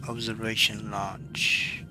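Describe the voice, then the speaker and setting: A man's voice: fairly low pitch, level tone, slow pace. A man giving casual commentary while playing a game, thinking aloud half to himself. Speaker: gamer. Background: loud game music.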